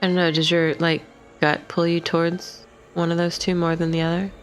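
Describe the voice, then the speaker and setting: A woman's voice: on the low side, level tone, a little fast, faint music behind. A woman playing a tabletop roleplaying game, speaking in casual in-character banter.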